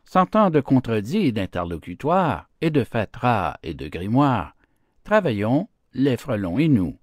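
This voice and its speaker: Man as storybook honeybee, female, prudent, impatient, pleading, animated swings in pitch, dry sound. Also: unhurried, no echo. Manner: pleading, reasoning.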